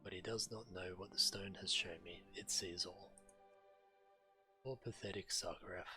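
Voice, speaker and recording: A man with a fairly low voice narrates a game stream, reading quest text aloud and adding his own commentary. Clear game music plays behind him. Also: fairly even intonation, moderate pace, bright, forceful tone. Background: video game music.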